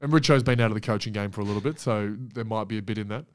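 A man's voice: fairly low pitch, rapid-fire, no echo. A young Australian man co-hosting a casual podcast, rambling with fillers. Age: young adult. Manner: relaxed, rambling, many fillers. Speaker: podcast co-host, footballer. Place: small office studio. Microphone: desk mic on boom arm.

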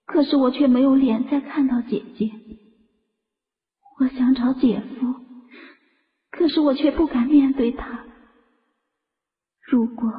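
A high-voiced, heartbroken young woman played by an actress confesses her guilt in a radio drama. She speaks very slowly and sorrowfully, and the muffled recording hangs in long reverb. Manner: slow, sorrowful confessional delivery. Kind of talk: audio drama voice acting.

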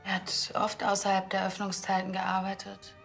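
An actress playing a polite, composed funeral parlour employee with a measured manner in a TV crime comedy. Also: female voice, medium pitch, completely flat delivery, gravelly, fairly bright tone, faint music behind. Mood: polite, sympathetic. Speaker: undertaker's office worker.

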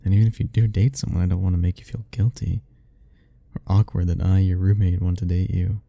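A young man softly speaking a tender, hesitant ASMR roleplay, musing aloud. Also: sits low, little pitch movement, a little fast, vocal fry. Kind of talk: soft-spoken ASMR roleplay.

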